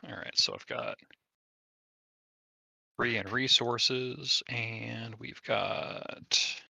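A man's voice: mid-range voice, big pitch swings, extremely slow, gravelly, bright, forceful tone, husky, no room sound. A man chatting casually as a player in a tabletop roleplaying game.

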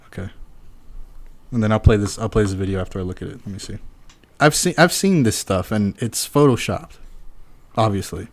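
A man's voice: fairly low pitch, fairly quick, long pauses. A man co-hosting a podcast in casual chat, rambling with restarts and frequent laughs. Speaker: podcast co-host.

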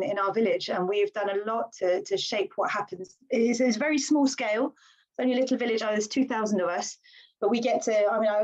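A woman from Britain speaks with animated earnestness, urging listeners on as a podcast guest. Her voice sits at an ordinary middle pitch. The recording is a bit dull and lo-fi. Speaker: guest, village councillor. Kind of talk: casual podcast conversation. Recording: slightly dull lo-fi sound.

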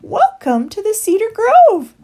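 Storybook role: serene female turtle dove done by a woman, high and cooing, speaks in gentle riddles. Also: wildly swooping pitch, measured pace, full character voice. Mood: serene welcome.

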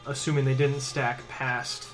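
A man's voice: medium pitch, level tone, unhurried, music in the background. Man patiently explaining game rules. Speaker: player. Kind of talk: casual chat at a game table.

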